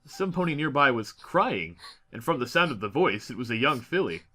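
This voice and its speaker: A man's voice: mid-range voice, very animated, normal speed, sharp tone. A man narrating a fantasy story as an audiobook reader, in a dramatic scripted style.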